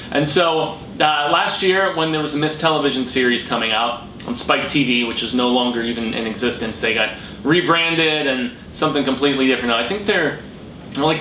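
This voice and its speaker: An American man talking casually as a vlog host, excitable, emphatic and rambling, with plenty of slang. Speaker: host of a horror fiction review channel. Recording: roomy echo, background noise and rumble.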